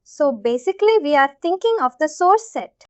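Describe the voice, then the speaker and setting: A woman with an Indian accent giving an informal live software tutorial, explaining things repetitively and checking that listeners follow. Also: high-pitched, very animated, normal speed, no echo, dull audio. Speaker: software instructor.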